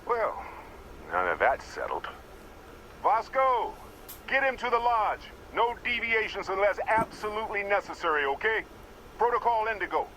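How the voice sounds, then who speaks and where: A man's voice: sits high, big pitch swings, normal speed, sharp tone, a little hiss or hum, exaggerated voice, poor audio. A man playing a jovial, roguish space explorer in a video game, breezy, teasing and theatrical. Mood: upbeat, assured.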